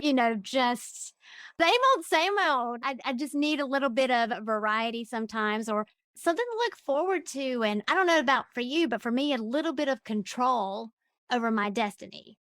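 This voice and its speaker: A woman's voice: high-pitched, fairly bright tone, no room sound. A woman hosting a podcast, upbeat and encouraging as she interviews a guest. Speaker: podcast host. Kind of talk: scripted intro then casual interview.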